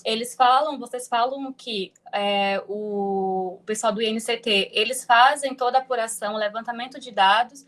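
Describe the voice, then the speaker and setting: A woman's voice: fairly high pitch, moderate pace, hardly any pauses, fairly bright tone. A Brazilian woman presenting and discussing research in a podcast-style academic talk.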